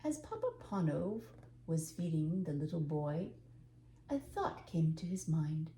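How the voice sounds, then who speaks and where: An older woman reading a storybook aloud as an expressive narrator. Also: low-pitched, unhurried. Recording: echoey room, faint background noise.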